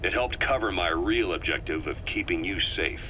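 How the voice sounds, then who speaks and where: An actor voicing a worldly, self-assured military interrogator in a video game, wry and loyal, telling things in a measured, explanatory way. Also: male voice, low voice, expressive, bright, forceful tone, a little hiss or hum, poor audio. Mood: wry, reflective. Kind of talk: scripted video game voice acting.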